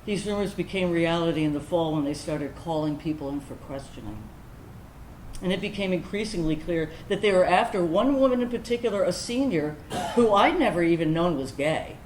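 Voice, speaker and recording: Actress as candid woman veteran, animated, echoey room. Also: on the low side, close to an everyday voice. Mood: hurt, subdued. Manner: confessional, conversational storytelling, wry, with deliberate pauses. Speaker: actor in a staged reading. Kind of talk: dramatic first-person monologue in a staged reading. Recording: roomy echoing stage recording, background noise.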